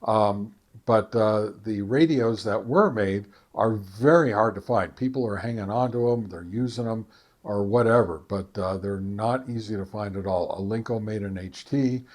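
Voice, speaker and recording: Halting American man, casual radio hobbyist presenter. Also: fairly low pitch, darkish tone. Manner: halting, hesitant delivery with frequent long filler pauses. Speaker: ham radio video creator and presenter. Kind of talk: casual unscripted product talk.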